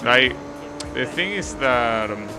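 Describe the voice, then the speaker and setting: A man with an Argentine accent, casually commenting on his racing game to a live-stream chat in a relaxed, musing way. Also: mid-range voice, takes it slowly, over music, poor audio.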